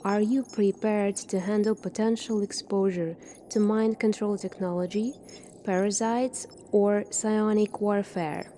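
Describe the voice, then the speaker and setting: A soft-spoken woman doing an ASMR roleplay as a calm military doctor, asking questions in a measured way. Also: medium pitch, slight background noise. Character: calm seasoned future military doctor examining a space recruit.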